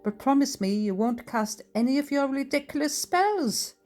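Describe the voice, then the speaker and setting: Woman acting blunt, brisk older Scottish widow. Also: high voice, lively intonation, darkish tone, soft background music, pitched above the performer's own voice. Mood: cheerful, plain-spoken. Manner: forthright, abrupt, plain-spoken.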